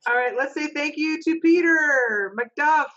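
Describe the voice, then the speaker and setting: A woman's voice: high-pitched, fairly bright tone, dull audio. A middle-aged woman acting as stage manager, cheerfully thanking each reader in a casual group video call from her home office. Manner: upbeat, encouraging, brisk announcing. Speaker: stage manager hosting an online reading. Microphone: webcam on a video call.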